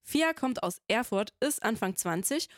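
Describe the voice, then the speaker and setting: A woman's voice: fairly high pitch, animated, measured pace, dry recording, clean studio sound. A young woman hosting a casual radio interview in an informal, conversational way. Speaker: radio host.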